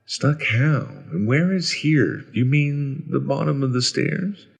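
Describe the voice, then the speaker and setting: A man's voice: mid-range voice, lively intonation, takes it slowly, vocal fry, husky, pitched above the performer's own voice, full character voice. A man voicing the frightened, confused young hero of a horror story, urgent and questioning. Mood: confused, agitated.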